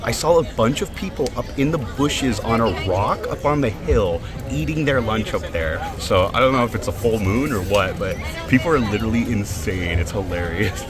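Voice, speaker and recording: A soft-spoken man chatting casually to camera as a vlogger, rambling and laughing softly while kneeling in a crowded outdoor park. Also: lowish voice, very animated, moderate pace. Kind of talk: casual vlog chat. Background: loud crowd noise and park music.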